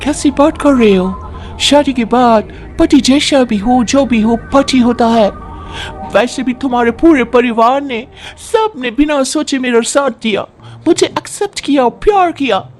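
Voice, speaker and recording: A man's voice: extremely high pitch, unhurried, soft background music, pitched above the performer's own voice. A man voicing a devoted young foreign wife, sweet but firm, speaking emphatically in a dramatized story. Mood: pleading. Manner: pleading and protesting, emphatic.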